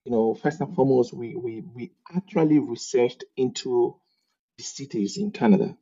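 Husky Nigerian man, lively storyteller. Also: medium pitch, moderate pace, dull audio. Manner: animated storyteller, often laughing mid-sentence. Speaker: podcast guest. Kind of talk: casual interview conversation.

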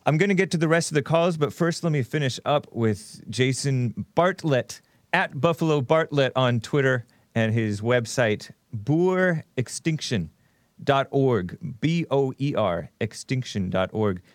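A man hosting a call-in show, moving briskly between the caller and the guest. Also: mid-range voice, animated, no echo, clean studio sound. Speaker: show host.

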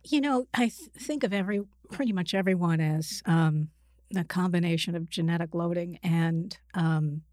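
Older female interview guest, clinician type, slightly low register, dry recording, reflective, stop-start conversational delivery. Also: darkish tone, dry recording. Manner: halting, reflective, often qualifying herself mid-sentence. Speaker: guest, psychiatrist and author.

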